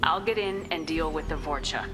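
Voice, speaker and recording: Woman as game army commander lady, lowish voice, talks quick, direct and sure of herself, music behind. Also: natural voice, poor audio. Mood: firm, businesslike. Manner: direct, businesslike, assured. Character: resolute woman military commander on a mission. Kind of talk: video game voice acting.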